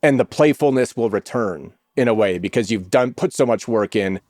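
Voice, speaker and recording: A middle-aged man, an actor, telling stories on a podcast in an animated way and swearing freely. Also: medium pitch, normal speed, no room sound, studio quality. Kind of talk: casual podcast chat.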